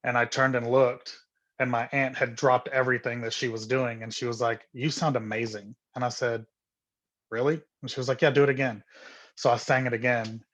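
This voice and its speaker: A middle-aged man from Honduras, guesting on a video call. He tells his story in a relaxed, earnest way, with many "um"s and repeated words. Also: medium pitch, fairly quick. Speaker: guest singer. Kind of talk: casual interview storytelling.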